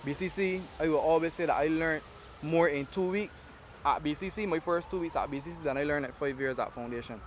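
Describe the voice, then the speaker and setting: A young Barbadian man with a Barbadian accent, an activist telling his story in a casual, animated interview. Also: on the high side, brisk, slight background noise. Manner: animated storytelling in Caribbean dialect. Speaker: award-winning activist guest.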